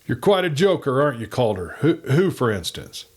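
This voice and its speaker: A man reading a western storybook voices a nervous small-town agent. He is sly and excitable, talking in an edgy voice pitched up high. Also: fairly quick. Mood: sarcastic, evasive. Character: nervous, sly male frontier agent, turned informer.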